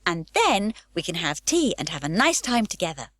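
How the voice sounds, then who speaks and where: A woman's voice: lowish voice, very animated, moderate pace, fairly bright tone, no echo, clean studio sound. A woman voicing a fearless, cheerful nine-year-old girl who talks boldly and gleefully. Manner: bold, gleeful and carefree.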